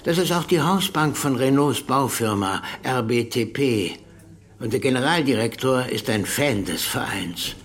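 An actor playing a guarded, pragmatic former police commissioner in a radio drama, speaking carefully and matter-of-factly. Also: male voice, medium pitch, lively intonation, unhurried, natural voice. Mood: resigned, factual.

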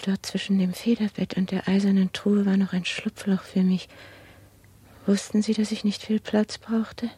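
A woman playing an anxious, humble old maidservant of 59 in a radio play, with a breathy, half-whispered, brooding delivery. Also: medium pitch, warm tone, no room sound. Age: older. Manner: subdued, repetitive, brooding. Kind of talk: 1960s radio play monologue.

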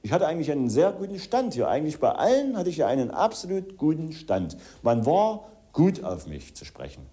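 A man's voice: on the high side, huge pitch swings, brisk, few pauses, dark tone. A man making a wordy, formal, indignant statement in his own defence. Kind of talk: self-justifying interview statement.

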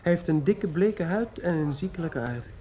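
A man's voice: a little high, animated, moderate pace, warm tone, natural voice. A young man acting in a radio play as a student son, dryly ironic and formally polite to his mother. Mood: sardonic. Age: young adult. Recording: dull, muffled radio recording.

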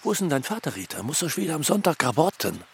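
An actor playing a jovial, flirtatious middle-aged engineer in a radio drama, jocular and florid in manner. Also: male voice, on the high side, sing-song, quick pace, gravelly, background noise.

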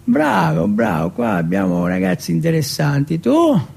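A man performs a young jailhouse bully for the stage, big and cruel. His voice rides high with a husky, creaky grain. He taunts and coaxes, repeating coarse commands with mocking bossiness, over faint music. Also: wildly swooping pitch, moderate pace, darkish tone, full character voice. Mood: mocking, interrogating. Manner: taunting, coaxing, repetitive commands, coarse swearing. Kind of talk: theatrical stage monologue.

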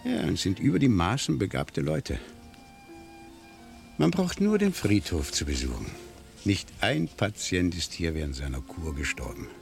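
A worldly, sardonic reporter from a seventies radio play. His very low voice moves with lively swings and dry emphasis. Music plays clearly behind him. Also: measured pace, heavily acted. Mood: wry, chatty. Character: worldly, sardonic French war reporter at a spa. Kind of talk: 1970s German radio play acting.

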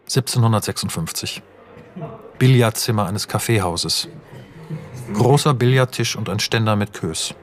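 A man's voice: on the low side, lightly acted. An actor as a male narrator reading screenplay directions in a radio drama, factual and clipped in his delivery. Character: matter-of-fact male narrator announcing film-script scenes.

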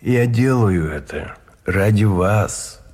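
Radio drama colonel: cold, formal schemer, very raspy lowish voice, measured and secretive. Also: male voice, animated, full character voice. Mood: insistent, calculating. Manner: measured, formal, hushed and conspiratorial. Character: cold, calculating army colonel, a prisoner plotting murder for duty. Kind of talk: Russian radio drama acting. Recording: studio radio play with faint music behind.

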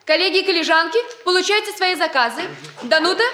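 An actress playing a brisk young student who runs an errand agency in a radio comedy, organising things in a businesslike way. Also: female voice, very high voice, lively intonation, pushed and bright, slight background noise, heavily acted. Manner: brisk, organising, handing out jobs. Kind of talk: theatrical 1960s radio comedy acting.

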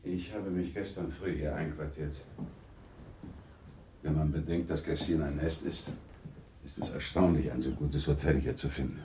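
Very deep, grave gentleman. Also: steady tone, background noise. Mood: dryly urbane. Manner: measured, formal, resigned. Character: grave, courteous gentleman, confidant and second in a duel. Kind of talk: German radio drama acting.

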